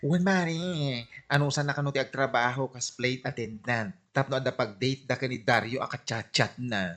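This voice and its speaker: A woman's voice: very deep voice, moderate pace, a bit bright. A woman playing a nosy, incredulous village gossip in an Ilocano comedy drama, exclamatory and teasing, with an Ilocano accent. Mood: mocking, gossipy.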